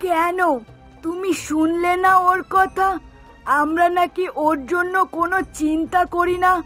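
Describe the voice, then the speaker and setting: A woman's voice: extremely high pitch, little pitch movement, unhurried, a bit bright. A woman voicing an exasperated middle-aged mother in a cartoon, complaining and scolding with emphasis. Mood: indignant. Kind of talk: Bengali cartoon voice acting. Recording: music behind the voice.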